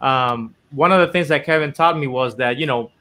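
A man's voice: mid-range voice, moderate pace, pushed and bright. A man in his thirties from the Dominican Republic, telling his story earnestly with lots of "you know" as a guest on a video call from his home office. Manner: earnest storytelling with many fillers. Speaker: guest inventor. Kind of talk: casual video-call interview. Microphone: video call webcam.

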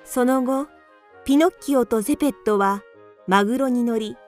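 A woman's voice: on the high side, moderate pace, music in the background. A woman narrator reading scripted storybook narration for a radio drama.

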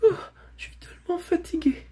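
A storybook reader voicing a gentle female talking horse, polite and plaintive but spirited. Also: normal speed, lightly acted. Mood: weary.

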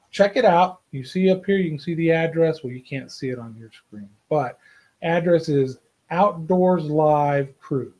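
A man's voice: a little high, a little mellow. A man, chatting to camera from a small home office and often checking in with "right?" and "okay?". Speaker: outdoors vlogger. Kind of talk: casual to-camera vlog. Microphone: desk camera, head and shoulders.

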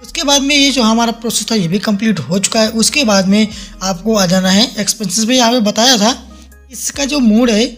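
A man's voice: sits high, brisk. A man narrating a step-by-step app tutorial in repetitive instructional phrasing. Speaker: tutorial host. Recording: faint music behind the voice. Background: soft background music.

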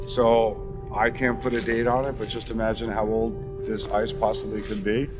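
American man, ice carver, low-pitched, easygoing interview talk, music behind. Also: moderate pace. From Connecticut, United States. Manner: relaxed, thoughtful, with many fillers. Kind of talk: documentary interview. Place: outdoors in the Arctic, on a ship deck.

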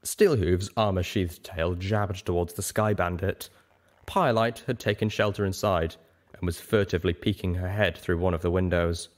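A man reading scripted story narration in a theatrical, expressive way. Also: sits low, normal speed. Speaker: audiobook narrator. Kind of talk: scripted, dramatic fan fiction narration.

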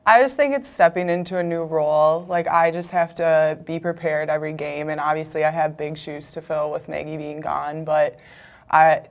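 A woman's voice: sits low, vocal fry. A young woman college basketball player answering interview questions casually, with lots of "like" and "just" and a trailing "so". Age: around 20. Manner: uses fillers like "like" and "just", trails off with "so". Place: small TV studio, indoors.

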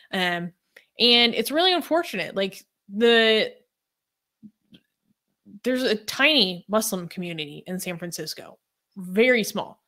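A woman's voice: fairly low pitch, pushed and bright. A young woman talking through a news story casually, hesitant with many ums and likes, on a video call from home. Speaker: co-host.

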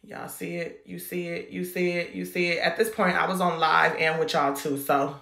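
A woman's voice: fairly low pitch, flat delivery, moderate pace, vocal fry, pushed and bright, reverberant. An American woman vlogger narrating casually in a playful, sassy, slangy way. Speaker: vlogger showing a hairstyle.

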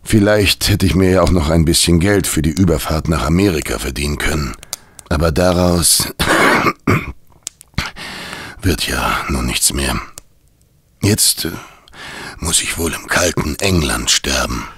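An actor in a German audio drama playing a weary, dying London cabman who confesses with halting, grave bitterness. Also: extremely low pitch, fairly bright tone, breathy edge, no room sound, full character voice. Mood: resigned.

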